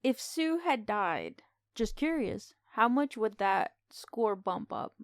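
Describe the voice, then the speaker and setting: A woman's voice: fairly high pitch, big pitch swings, slow, no echo, clean studio sound. A woman co-hosting a podcast, talking casually as she gives her review of a film.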